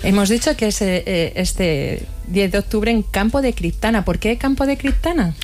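A woman's voice: medium pitch, normal speed, darkish tone, faint music behind. A woman hosting a radio interview, asking questions with some hesitation and affirming the guests.

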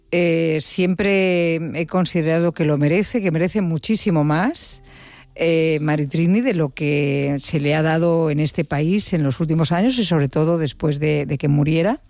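A woman hosting a radio interview, chatty and warm, with many hesitant fillers. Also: deep voice, no echo. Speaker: radio host.

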